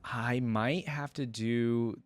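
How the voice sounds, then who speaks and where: A man's voice: mid-range voice, fairly even intonation, slow pace, no echo. A man hosting a reaction video, talking informally and thinking aloud, sometimes reading aloud to his viewers.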